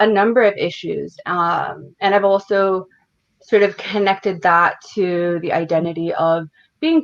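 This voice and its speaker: Low-voiced woman on dull lo-fi audio. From United States, Detroit and Northern Virginia. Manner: thoughtful and measured, with frequent "um" and "you know". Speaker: community organizer, panelist. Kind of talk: panel discussion remarks.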